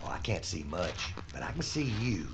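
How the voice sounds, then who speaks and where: An actor playing a ruthless, half-blind outlaw boss who talks in a slow, menacing drawl. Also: male voice, sits low, very animated, background noise. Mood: cold, threatening.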